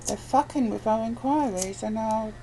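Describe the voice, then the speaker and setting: A woman's voice: fairly high pitch, moderate pace, mellow, faint music behind, rough recording. A woman guest in casual, opinionated chat, speaking bluntly and emphatically and repeating phrases to press her point. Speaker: interview guest. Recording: clear background noise, ambient sound.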